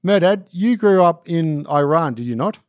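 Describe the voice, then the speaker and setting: A man hosting a podcast, reading a scripted introduction and asking interview questions in a measured way. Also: a little high, expressive, a little mellow, dry recording. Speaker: podcast host.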